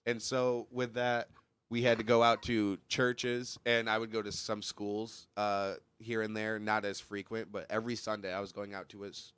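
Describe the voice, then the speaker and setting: Congested young man, crisp bright voice. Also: on the low side, little pitch movement, normal speed, dry recording. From Michigan. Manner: joking, rambling, laughs often. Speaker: podcast guest, stand-up comic. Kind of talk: casual podcast chat.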